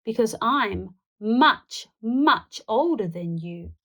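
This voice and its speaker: A bossy old dinosaur granny, as a woman reader puts her on for a children's storybook. She is firm and teasing, pitched high and taking her words slowly. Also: expressive, fairly bright tone, full character voice.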